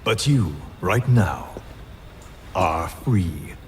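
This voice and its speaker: An actor playing a cold, menacing male interrogator in an audio drama, grave, deliberate and commanding. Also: sits low, lively intonation, a little hiss or hum, heavily acted. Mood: solemn, cold.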